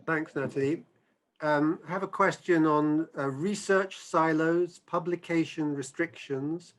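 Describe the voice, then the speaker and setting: A man moderating an online research panel, reading out audience questions and passing them to panelists in a measured way. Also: on the high side, a bit bright.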